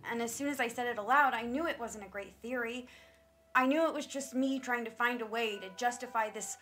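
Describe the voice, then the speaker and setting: A woman acting a high-voiced, guilt-ridden young-sounding confessor. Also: a little fast, a bit bright, lightly acted. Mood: guilty, confessing. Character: guilt-ridden defensive massage therapist, a woman hiding dark urges. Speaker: actor in a stage play reading. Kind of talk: dramatic stage acting.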